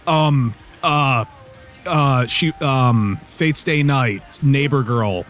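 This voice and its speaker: A man co-hosting a let's play with casual, rambling, profane commentary, often halting as he searches for words. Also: medium pitch, fairly bright tone, faint music behind.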